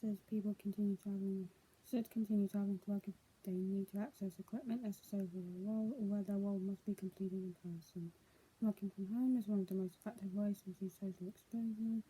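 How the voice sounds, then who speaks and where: A woman's voice: medium pitch, steady tone, fast talker, dark tone, slightly rough, rough recording. A young adult woman, speaking as a vlogger in a casual, homemade style. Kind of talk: casual vlog, reading news aloud and chatting.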